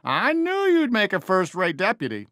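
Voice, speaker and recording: A man's voice: sits high, wildly swooping pitch, normal speed, fairly bright tone, dry recording, studio quality, heavily acted. A man voicing a friendly, folksy American toy cowboy sheriff in a video game, eager and encouraging with a cowboy drawl. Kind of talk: video game character voice lines.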